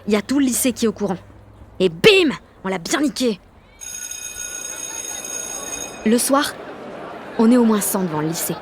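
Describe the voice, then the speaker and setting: A woman's voice: mid-range voice, slight background noise, lightly acted. A woman playing a cocky, exalted sixteen-year-old girl activist, narrating an audio drama in brash, confiding slang. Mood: defiant, smug. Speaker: lead actor and narrator.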